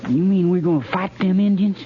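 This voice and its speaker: Guy doing a grumbly young american soldier, old radio western, higher voice, muffled sound. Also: fairly quick, close to an everyday voice. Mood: grumbling. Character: sheepish, grumbling young cavalry trooper. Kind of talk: 1950s radio western acting. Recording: muffled, noisy 1950s radio recording.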